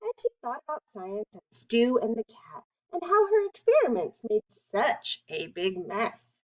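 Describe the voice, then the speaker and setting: A woman reading a children's picture book aloud in an expressive, deliberate way with dramatic emphasis. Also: fairly high pitch, muffled recording. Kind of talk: read-aloud children's storytelling.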